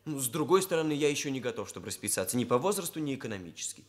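A man's voice: mid-range voice, big pitch swings, racing, lightly acted. An actor playing a self-serious young male hairdresser in a Soviet radio drama, with formal, bookish phrasing. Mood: matter-of-fact, defensive. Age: young adult.

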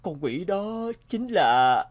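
A man playing a troubled, sentimental family man in an animated drama, speaking earnestly and reflectively. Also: high-pitched, very slow, full character voice. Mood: pained.

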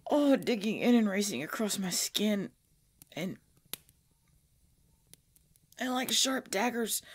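A woman playing a tormented, devoted pregnant vampire in an intimate ASMR roleplay, halting and breathy, often dropping into a whisper. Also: medium pitch, a little hiss or hum. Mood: pained, fearful. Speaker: voice actor in audio roleplay.

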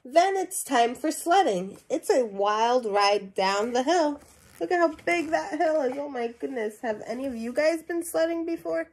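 A woman's voice: high voice, animated, a little slow, poor audio. A young woman reading a children's picture book aloud, adding simple questions and asides for young listeners. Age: young adult. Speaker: storybook reader. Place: small indoor room at home.

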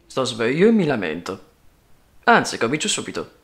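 An actor dubbing a gloomy, neurotic hypochondriac in a romantic comedy, grumbling in a low-key deadpan. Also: male voice, moderate pace. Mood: glum. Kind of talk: dubbed film dialogue.

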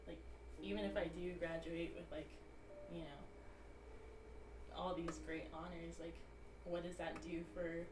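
A young woman student from the Pacific Northwest, speaking reflectively in an interview with many fillers and pauses. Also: on the low side, level tone, roomy sound, recorded from a distance, background noise. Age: young adult. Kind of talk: casual interview. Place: bedroom, sitting on a bed.

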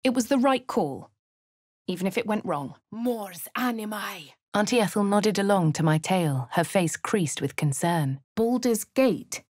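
A woman voicing a posh, plucky young adventurer with a southern English accent, speaking crisply and chanting spells. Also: mid-range voice, lively intonation, measured pace, dry recording. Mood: incanting. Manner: chants spell incantations loudly. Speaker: player character voice. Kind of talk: video game voice lines. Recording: dry studio recording.

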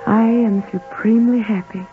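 Serene, devout young wife played by an actress, gentle and formal, for a forties radio play with muffled old broadcast sound. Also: female voice, on the high side, fairly even intonation, moderate pace, over music, close to an everyday voice. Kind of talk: 1940s radio acting.

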